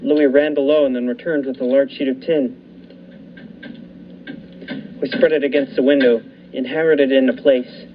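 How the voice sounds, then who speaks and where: A man's voice: medium pitch, little pitch movement, normal speed, long pauses. A young man narrating a radio thriller in the first person, telling it steadily and building the tension. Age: young adult. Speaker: narrator and lead actor. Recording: dull lo-fi recording, faint hiss.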